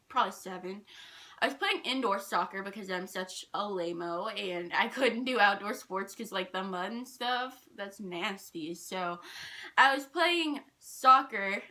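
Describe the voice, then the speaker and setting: A young woman telling personal stories in a casual, animated, rambling and self-deprecating way. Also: mid-range voice. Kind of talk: casual storytime chat.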